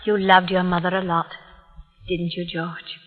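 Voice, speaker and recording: An actress playing a frail, gentle middle-aged aunt in an old-time radio drama, measured and pleading. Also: female voice, fairly low pitch, little pitch movement. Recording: muffled vintage radio recording.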